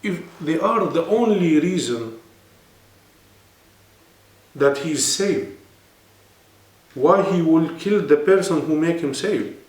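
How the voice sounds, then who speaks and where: A man's voice: on the high side, animated, slow pace, long pauses, darkish tone. Earnest Arabic-accented man, echoey room. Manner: earnest, explanatory, broken English phrasing. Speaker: interview guest, poet. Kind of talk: serious conversational interview. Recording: echoey room, mic at a distance.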